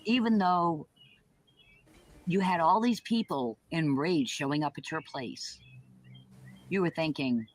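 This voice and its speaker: A woman's voice: low-pitched, slow, pauses often, rough recording. A woman delivering a taunting, foul-mouthed monologue.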